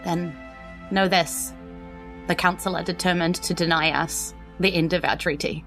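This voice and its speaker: A New Zealand woman playing an earnest, nervous but determined teenage empress in a tabletop game, speaking haltingly. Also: on the low side, fairly even intonation, a bit bright, soft background music. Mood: bitter, determined.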